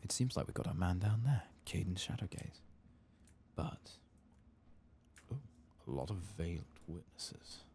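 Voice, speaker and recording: A man giving soft-spoken ASMR commentary on a video game, unhurried and often slipping into a breathy whisper. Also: deep voice, animated, slight background noise.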